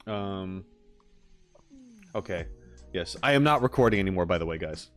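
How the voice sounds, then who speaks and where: A man's voice: low voice, animated, normal speed, soft background music. A man chatting casually as a livestreamer, rambling and slangy as he talks to his viewers. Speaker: streamer hosting a live chat.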